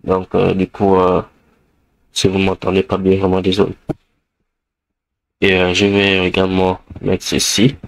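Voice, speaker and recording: Man with the flu, deep and slow, hesitant coding tutor with a dry close mic. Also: monotone, creaky, dry recording, poor audio. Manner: hesitant, many fillers, thinks aloud while typing. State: has the flu. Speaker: programming tutor. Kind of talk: screencast coding lesson.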